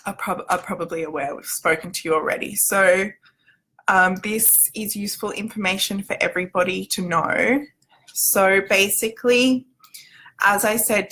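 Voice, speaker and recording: A woman's voice: medium pitch, bright, forceful tone, rough recording. A woman with an Australian accent giving an informal livestream explainer as a company representative, in a chatty, loosely structured way.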